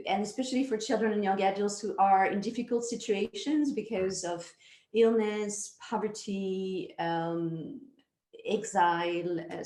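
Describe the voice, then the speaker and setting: A woman from France with a French accent, chatting as an interview guest, unhurried and full of fillers. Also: medium pitch, darkish tone. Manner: unhurried, many fillers and restarts. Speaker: guest, nonprofit founder. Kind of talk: casual interview chat. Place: home in Zurich. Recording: echoey room.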